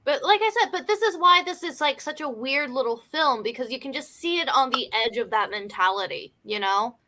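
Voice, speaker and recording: A woman's voice: high voice, animated, fairly quick, noisy background. A woman in a casual film discussion, talking in a hedging way peppered with "like" and "you know". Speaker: film review panelist.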